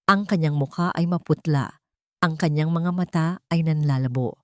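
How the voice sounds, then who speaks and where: Low-pitched Filipino woman narrating a drama. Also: normal speed, darkish tone, dry recording. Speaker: audiobook narrator. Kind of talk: scripted dramatic story narration.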